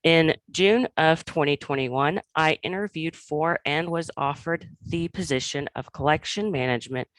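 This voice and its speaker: A woman librarian, hoarse after illness, giving a prepared conference presentation about her own career path in an earnest storytelling way. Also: sits low, moderate pace, few pauses, no room sound, low-quality recording. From Oklahoma.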